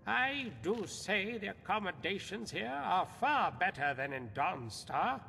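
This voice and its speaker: Excitable cultured scholar, high bright voice, refined fantasy game dialogue, reverberant hall. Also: male voice, vocal fry, exaggerated voice. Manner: refined, polite, expressive. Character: cultured, chatty, excitable traveling artifact scholar. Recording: reverberant hall, faint noise.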